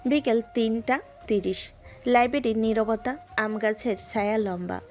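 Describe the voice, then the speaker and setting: A woman narrating a romantic audio story in a measured, lyrical way. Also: a little high, darkish tone, faint music behind.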